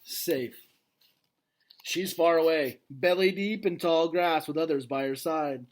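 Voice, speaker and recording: Man reading as a gentle, slow-spoken wistful gorilla. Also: on the high side. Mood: calm, reflective. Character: Ivan, gentle adult male gorilla, wistful and earnest. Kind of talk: children's novel read aloud, first person.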